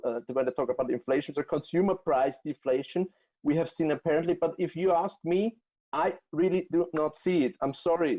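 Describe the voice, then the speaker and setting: Austrian-accented man, halting speech. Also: a little high, warm tone. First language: German. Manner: halting, many fillers and restarts. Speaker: guest economist. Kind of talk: remote interview answers.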